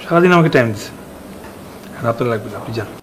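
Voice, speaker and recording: An actor playing a scheming, insistent former lover in a Bangladeshi TV drama, with a Bangladeshi accent. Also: male voice, mid-range voice, animated, very fast, a little hiss or hum, lightly acted, rough recording. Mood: polite, calm. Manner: mixes English phrases into speech. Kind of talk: TV drama acting.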